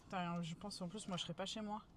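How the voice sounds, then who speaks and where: A young woman chatting informally and slangily with live viewers, soft-spoken by nature. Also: lowish voice, fairly even intonation, fast talker, crowd noise. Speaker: streamer, travel vlogger. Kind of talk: casual live chat with viewers.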